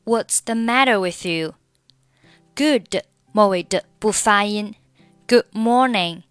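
Woman, Mandarin speaker, English pronunciation teacher, careful repetition, dry close mic, soft music behind. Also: medium pitch, smooth, no room sound. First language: Mandarin Chinese. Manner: slow, careful repetition of words and phrases. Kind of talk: pronunciation lesson, reading dialogue lines and explaining linking.

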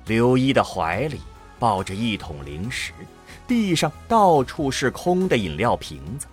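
Animated, unhurried male drama narrator. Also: on the high side. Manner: dramatic storytelling. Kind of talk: scripted narration of a science fiction audio drama.

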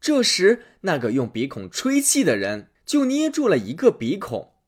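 Husky man telling a lively fairy tale. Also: high voice, moderate pace. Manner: lively, expressive narration. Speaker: storyteller. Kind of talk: fairy tale read-aloud.

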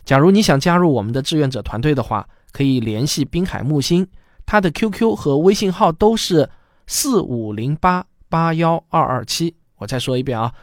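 A man hosting a podcast, addressing listeners in a clear, emphatic presenter's delivery. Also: on the high side, no room sound, clean studio sound. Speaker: podcast host. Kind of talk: scripted announcements to listeners.

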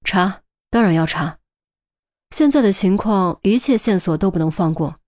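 A woman's voice: mid-range voice, expressive, normal speed, a little mellow, close to an everyday voice. A woman voicing a firm senior policewoman in an audiobook, with an authoritative, no-nonsense manner. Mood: decisive. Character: firm, brisk senior policewoman, adult, exasperated mentor.

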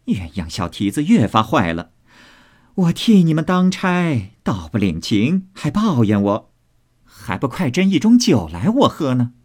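A man voicing a brisk, bossy, teasing young lady of the house in a storybook, bantering and full of exclamations. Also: medium pitch, expressive, a little mellow, husky.

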